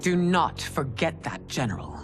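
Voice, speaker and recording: A regal, stern empress in a game cinematic, speaking in a low voice that moves expressively. She is formal and commanding, with music clearly playing behind her. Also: lively intonation, moderate pace. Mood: stern, reproving. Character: regal, stern empress and mother of a fighting realm. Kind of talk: video game cinematic acting.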